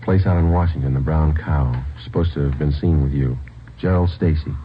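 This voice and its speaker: A man's voice: very deep voice, moderate pace, slight background noise. An American man playing a terse, businesslike police sergeant in a 1950s radio crime drama, clipped and matter-of-fact. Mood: dry questioning. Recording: muffled old radio recording with music.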